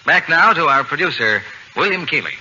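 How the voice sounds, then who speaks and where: Very bright, animated male radio announcer. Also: a little high, fairly quick. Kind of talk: 1940s radio sponsor pitch, scripted. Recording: muffled old radio broadcast, faint noise.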